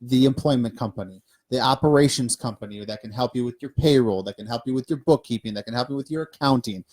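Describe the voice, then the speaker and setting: Man, midwestern accent, podcast co-host, emphatic, animated, lists points. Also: medium pitch, quick pace. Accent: Midwestern American. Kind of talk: casual business chat. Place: studio.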